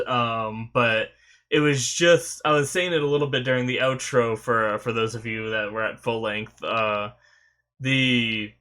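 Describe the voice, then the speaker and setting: A man's voice: mid-range voice, sharp tone. A young man hosting an anime reaction, rambling casually with many fillers while still sniffly and recovering from a sore throat.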